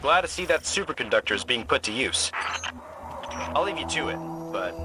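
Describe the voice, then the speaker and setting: Male actor as friendly game ally, mid-pitched, animated, casual, good-humored, loud music behind. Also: brisk, close to an everyday voice. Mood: friendly, breezy. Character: breezy, friendly male comrade signing off over comms. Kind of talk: video game character dialogue.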